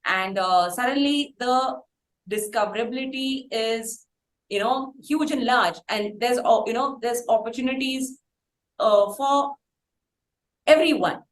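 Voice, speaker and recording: A woman with an Indian accent hosting an interview, speaking conversationally in long, halting sentences that build slowly toward a question. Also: on the high side. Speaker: interviewer, podcast host. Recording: roomy, echoey sound.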